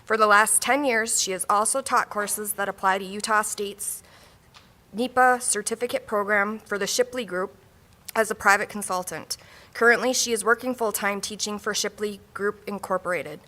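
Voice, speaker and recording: A woman acting as conference emcee, reading a speaker's biography in a steady, formal way in a large room. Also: medium pitch, normal speed.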